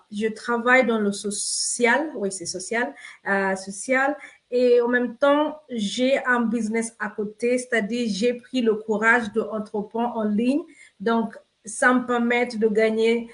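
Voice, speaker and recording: A woman from Kenya speaks on a live online chat, searching for her words. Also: fairly high pitch. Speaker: guest panelist.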